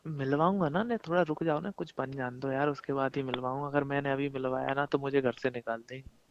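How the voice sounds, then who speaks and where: A man's voice: medium pitch, fairly even intonation, brisk, a little hiss or hum. A young man chatting casually and intimately with his partner on a recorded phone call, coaxing and pleading in a colloquial way. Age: young adult. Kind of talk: casual intimate phone chat between lovers.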